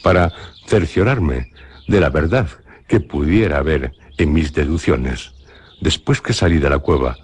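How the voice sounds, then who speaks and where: A man with a Spanish accent narrating a radio drama in a measured, literary storytelling manner. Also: a bass voice, slightly rough. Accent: Spanish from Spain.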